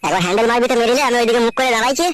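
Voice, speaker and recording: A woman's voice: fairly high pitch, very fast, a crowd in the background, lightly acted. A woman voicing a cheeky, crude young nephew in a comic cartoon, blunt and teasing. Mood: blunt, urging.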